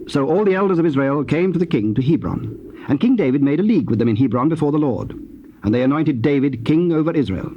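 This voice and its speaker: A man with a British accent narrating scripture in a formal, measured way. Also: on the high side, lively intonation. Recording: dull, faintly noisy old cassette.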